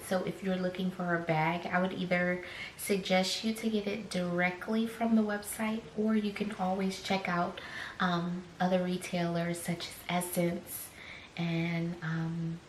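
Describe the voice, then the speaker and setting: A young woman with a slightly raspy voice talks unhurriedly through an unboxing, dropping fillers and soft whispered asides. Her voice echoes in a room at home, with a faint hiss underneath. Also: lowish voice. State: slightly raspy from choking on a drink. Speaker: fashion vlogger. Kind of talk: casual unboxing vlog.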